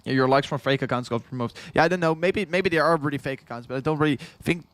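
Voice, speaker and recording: A man's voice: on the high side, fast, fairly bright tone, dry recording. Dutch man rambling on a livestream. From Netherlands. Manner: rambling, repeats himself, chuckles often, reads chat aloud. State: tired after hours of streaming. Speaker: crypto livestream host. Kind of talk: casual livestream chat.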